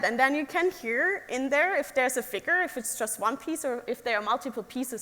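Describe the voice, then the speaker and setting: A woman's voice: fairly high pitch, sharp tone. A young woman from Germany with a German accent, giving a friendly talk to an audience as an engineer and often asking them questions. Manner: friendly, asks the audience questions, many ums. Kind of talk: presentation to an audience. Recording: reverberant hall.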